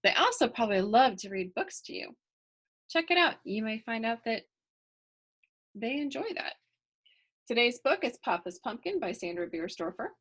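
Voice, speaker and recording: A woman's voice: a little high, sing-song, moderate pace, pauses often, fairly bright tone, slightly rough. Woman reading a picture book aloud. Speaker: children's story reader. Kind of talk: expressive picture-book read-aloud with chatty asides to children.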